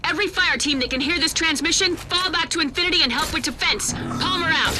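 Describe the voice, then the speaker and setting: An actress playing a forceful female military commander giving clipped orders in a video game, as a noisy transmission. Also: very high voice, brisk. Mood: commanding, urgent.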